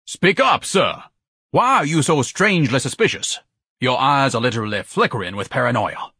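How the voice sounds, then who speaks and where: Casting: bombastic, paranoid large man; grandiose audiobook character, husky, swooping delivery, raised pitch. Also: expressive, moderate pace, bright, forceful tone, no echo, studio quality, full character voice. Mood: indignant, suspicious. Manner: pompous, ornate, declamatory. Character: pompous, bellowing, paranoid large man, grandiose and easily panicked.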